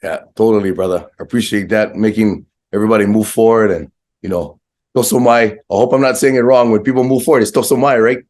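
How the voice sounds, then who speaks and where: A man with a slightly hoarse voice hosting a casual, brotherly interview in informal slang. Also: on the low side, fairly even intonation, fast, darkish tone. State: hoarse, recovering from a viral infection. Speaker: podcast host.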